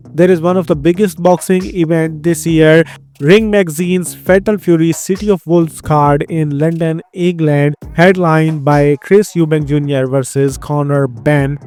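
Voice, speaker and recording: A man's voice: a little high, a little mellow. Upbeat Indian-accented man. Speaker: host. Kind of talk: scripted fight-news rundown. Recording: faint background music.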